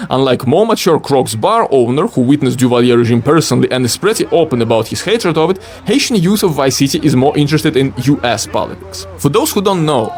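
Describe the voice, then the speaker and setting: Lively non-native English-speaking man narrating. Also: a little high. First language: not English. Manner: playful, wry asides. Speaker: video creator and game modder. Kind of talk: scripted voice-over narration of a game mod showcase.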